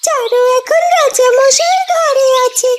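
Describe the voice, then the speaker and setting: A performer voicing a small, loyal female talking bird in a cartoon, with a squeaky, childlike voice. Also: unhurried. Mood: earnest, watchful. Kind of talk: cartoon character dialogue. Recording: loud music bed behind the voice.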